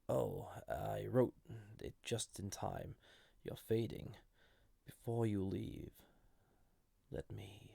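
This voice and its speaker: Male actor as banished ancient entity for intimate audio roleplay: very gravelly, unhurried, hesitant, flirty with a dangerous edge. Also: lowish voice, dry recording, exaggerated voice. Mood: tender, wistful. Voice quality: gravelly, husky, breathy. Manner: hesitant, softly intimate, deliberate. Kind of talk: scripted audio roleplay addressed to listener.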